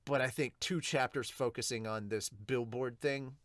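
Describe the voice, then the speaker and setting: A man's voice: medium pitch, steady tone, moderate pace, a bit bright, a little hiss or hum. A man hosting a podcast, giving a casual, unscripted reaction and review in a rambling, informal, sweary way. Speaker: podcast host reviewing manga.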